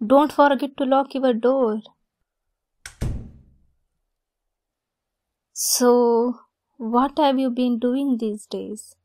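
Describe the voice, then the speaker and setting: A woman voicing an affectionate, playful young female best friend secretly in love, in a soft, halting ASMR roleplay. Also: fairly high pitch, steady tone, no echo. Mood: playful, fond. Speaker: audio roleplay voice actress.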